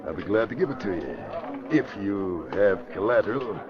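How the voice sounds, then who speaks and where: A man's voice: deep voice, very animated, moderate pace. A man playing a smug, mocking gambling boss who talks in swaggering slang in an old-time radio drama. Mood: mocking, breezy. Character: smug, jeering gambling boss, notorious casino owner. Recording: dull old radio recording, crowd behind.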